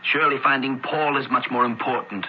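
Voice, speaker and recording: Radio drama: actor as idealistic young brother, middle register, gently persuasive and formal, slightly muffled old broadcast. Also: male voice, mid-range voice, fairly even intonation, fairly quick, lightly acted. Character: earnest, idealistic young brother, a religious student. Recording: dull old radio broadcast, faint noise.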